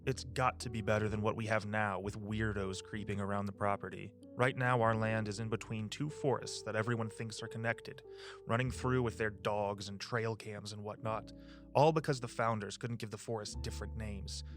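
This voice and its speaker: A man's voice: on the low side, steady tone, moderate pace, music in the background, close to an everyday voice. A man acting in an audio drama as an impatient, dismissive husband, pushy and exasperated as he argues his case. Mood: scornful. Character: impatient, dismissive husband eager to sell inherited land.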